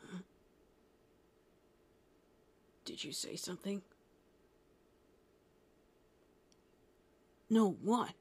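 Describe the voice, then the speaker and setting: A woman playing a gruff, prickly but secretly tender young male half-demon in a roleplay spoken to the listener, brusque with a low, gravelly voice. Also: brisk, a little hiss or hum, lightly acted. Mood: irritated, pressing. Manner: gruff, brusque, softening into breathy murmurs. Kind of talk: roleplay audio addressed to the listener.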